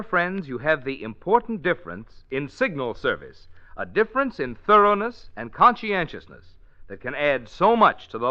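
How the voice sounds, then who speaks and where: Casting: American male vintage radio pitchman, fairly high voice, very lively delivery, polished and folksy. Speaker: radio announcer, sponsor pitchman. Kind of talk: 1940s radio ad read, scripted. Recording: dull lo-fi old radio recording.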